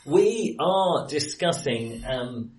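A man hosting a film podcast in casual conversation, speaking haltingly as he searches for his words. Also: fairly high pitch, expressive. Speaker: podcast host. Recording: roomy echo, distant from microphone.

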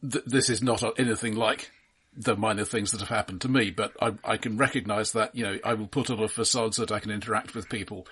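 A man talking casually about films as a co-host, in a measured, reflective and slightly tentative way. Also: fairly low pitch, fairly even intonation, a bit bright, husky. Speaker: film podcast co-host. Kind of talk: casual film discussion.

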